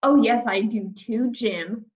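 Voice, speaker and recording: A woman voicing an excitable, brash kindergarten girl from a storybook, chatty and emphatic with childish grammar. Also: fairly high pitch, slow pace, echoey room, muffled recording. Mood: bossy.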